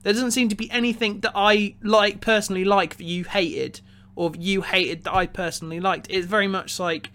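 A man co-hosting a podcast, talking casually about films in a halting way, often repeating words as he goes. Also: high voice, steady tone, pushed and bright. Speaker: podcast co-host reviewing a film. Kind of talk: casual opinionated discussion.

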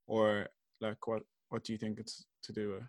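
A man's voice: lowish voice, completely flat delivery, normal speed, dry recording, studio quality. A young man hosting a podcast, easygoing and casual, asking questions and giving short encouraging replies. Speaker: podcast host.

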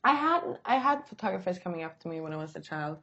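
A woman's voice: lowish voice, fast, husky, dull audio. A young woman from Sweden whose first language is Swedish, talking casually and a little hesitantly as an interview guest. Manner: relaxed, hesitant, trailing off softly. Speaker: model.

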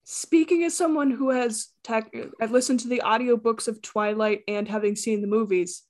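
A young woman co-hosting a casual podcast, giving her opinions clearly and articulately. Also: a little high, steady tone, normal speed. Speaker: podcast co-host. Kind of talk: casual chat.

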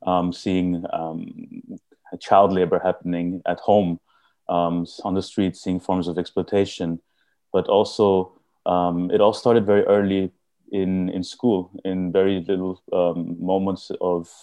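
Guy from india raised in austria, really low flat voice, lots of ums, dry sound. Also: no echo. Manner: halting, many fillers and restarts. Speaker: guest. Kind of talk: podcast interview answers.

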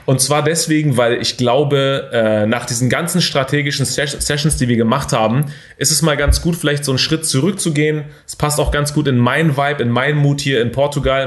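A young man hosting a motivational podcast, energetic and full of fillers and English buzzwords. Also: medium pitch. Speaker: podcast host. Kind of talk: casual motivational talk.

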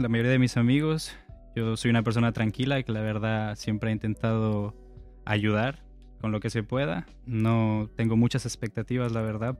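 A man in his twenties from Ecuador, a podcast guest calmly telling his story in casual chat. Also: lowish voice. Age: 20s. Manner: calm, reflective storytelling.

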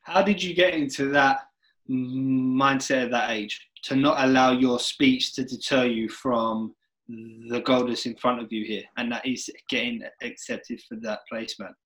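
A man hosting an interview podcast, talking earnestly and with emphasis, speeding up and slowing down within phrases. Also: medium pitch, steady tone, a bit bright, rough recording. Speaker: podcast host. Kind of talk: interview chat.

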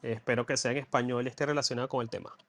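A man's voice: mid-range voice, steady tone, rapid-fire, noisy background. A man hosting a casual podcast chat, talking in a chatty, digressive way. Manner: chatty, digressive, laughs easily. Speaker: podcast host.

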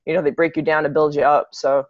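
A woman's voice: deep voice, level tone, fast, no room sound. A young adult woman, a city EMT and fitness coach, chatting casually and often restarting her sentences. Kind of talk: casual podcast conversation. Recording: dull lo-fi, dry sound.

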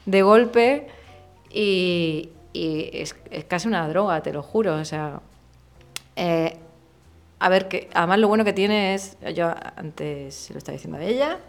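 A woman in her thirties from Murcia with a softened Murcian accent, a guest talking candidly and casually in an interview, full of slang and fillers. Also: fairly low pitch, a little slow, soft background music. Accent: softened Murcian Spanish. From Murcia, Spain. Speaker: podcast guest, illustrator.

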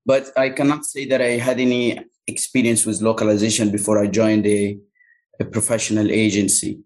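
A man from Egypt whose first language is Arabic, answering interview questions thoughtfully with many pauses and fillers. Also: lowish voice, level tone, darkish tone, rough recording. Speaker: localization agency owner, guest.

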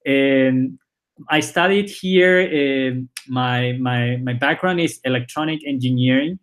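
Halting Chinese-born man with Spanish accent. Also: medium pitch, a bit bright. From China. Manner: halting, with frequent repeated words and "uh" fillers. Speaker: startup founder and CEO, guest. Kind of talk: casual interview conversation.

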